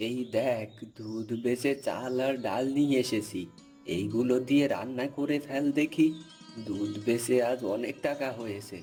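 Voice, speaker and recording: Guy doing gentle old village dad in a cartoon, mellow soft tone, bit of music behind. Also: medium pitch, moderate pace, lightly acted. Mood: reassuring. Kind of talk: cartoon folk tale dialogue.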